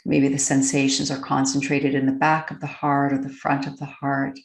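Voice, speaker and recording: A woman leading a guided meditation, speaking gently and deliberately, with long pauses between phrases. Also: a bass voice, completely flat delivery, creaky, reverberant. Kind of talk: slow, unscripted guided meditation.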